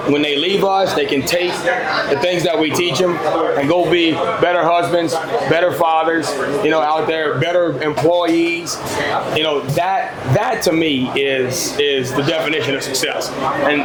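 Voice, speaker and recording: Man, Cajun accent, football coach, loud voice, earnest, echoey room, loud crowd. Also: fairly high pitch. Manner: earnest, repeats phrases, many restarts. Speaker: high school football head coach. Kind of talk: media day interview.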